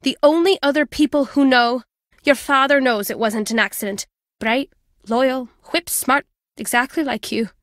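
An older British former spy and journalist in a video game. Her voice sits fairly high, in a dry, close recording. She is wry and guarded, teasing yet fond as she speaks. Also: normal speed, a bit bright, no room sound. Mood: wistful, grave. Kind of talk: video game character dialogue.

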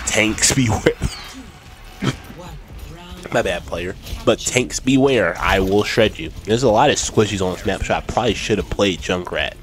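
A young man with a stuffy, sick-sounding voice chatting casually to viewers while he plays, recorded on a desk mic at home. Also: lowish voice. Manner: chatty, talks to himself and viewers while playing. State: stuffy from a cold. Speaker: gaming streamer. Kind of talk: casual gameplay commentary. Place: home room. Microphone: desk mic with headset. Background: game music and sound effects.

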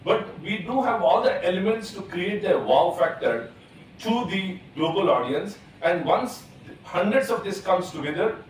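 A man, speaking eagerly on a conference panel and driving his point home with examples. His voice sits a little high. It comes through a table mic from some distance, in a big hall with heavy echo and a faint hiss. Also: expressive, unhurried. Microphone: table gooseneck mic.

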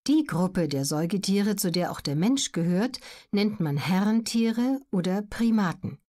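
A woman reading scripted educational narration as an audiobook narrator, in a measured, clearly articulated way. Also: mid-range voice, animated, warm tone.

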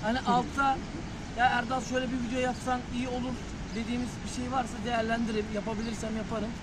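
A young man chatting excitedly to his viewers in a casual travel vlog, filmed on a phone outdoors by a river. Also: very high voice, fast. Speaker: vlogger. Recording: loud outdoor noise.

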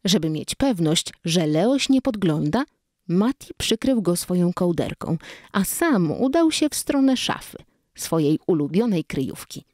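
A woman's voice: on the low side, big pitch swings, measured pace, darkish tone, no room sound, studio quality. A woman narrating a children's story expressively, acting out the dialogue.

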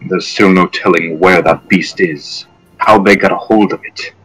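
A man's voice: low voice, steady tone, normal speed, a bit bright, faint music behind, rough recording. A man voicing a polite, hesitant male town governor in a tabletop game. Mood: grave. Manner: formal, hesitant, apologetic.

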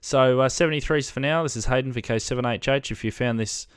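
A man's voice: medium pitch, quick pace, clear, resonant tone, no room sound. A man in his thirties with an Australian accent, hosting a casual tutorial to camera at a close desk microphone. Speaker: host of a ham radio video channel. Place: small indoor room at a desk. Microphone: desk microphone, close, with headset on.

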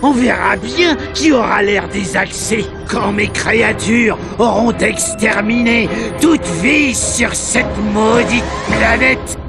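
A man voicing a ranting, megalomaniac male mad scientist villain in a French video game dub, with a shrill, gravelly, cackling voice and game music behind. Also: takes it slowly, hardly any pauses, full character voice. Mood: scheming.

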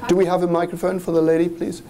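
Mellow gravelly-voiced male moderator. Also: high-pitched, little pitch movement, fast talker. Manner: polite, brief interjections. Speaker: panel moderator. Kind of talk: moderating a conference panel.

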